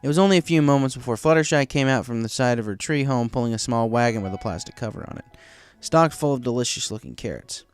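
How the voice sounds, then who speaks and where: A man's voice: medium pitch, quick pace, few pauses, smooth, soft background music. A man reading scripted story narration as the narrator of a fan tale.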